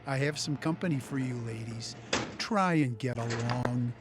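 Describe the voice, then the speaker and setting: A man's voice: medium pitch, moderate pace, background noise, lightly acted. A man acting in an audio comedy as a laconic, wry jailer. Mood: deadpan, wry.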